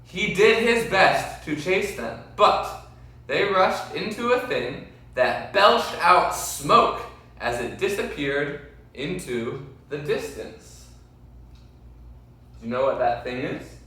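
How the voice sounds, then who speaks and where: A man reading a children's story aloud expressively, with deliberate, dramatic phrasing and questions to his listeners. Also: fairly high pitch, very animated, sharp tone. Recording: very echoey room, distant mic.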